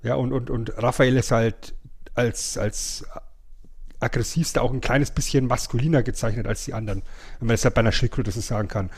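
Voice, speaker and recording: A man's voice: mid-range voice, steady tone, brisk, slightly rough. A middle-aged man, co-hosting a podcast in an informal, explanatory way. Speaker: podcast co-host. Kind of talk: casual podcast chat.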